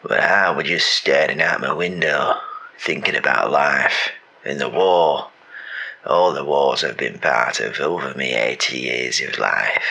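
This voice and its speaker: A weary British war veteran of eighty played by a man, rasping and slow. He rambles and grumbles in disgust, with a hard gravelly edge to a comedy-sketch performance. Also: rumbling low, bright, forceful tone, pitched below the performer's own voice, exaggerated voice.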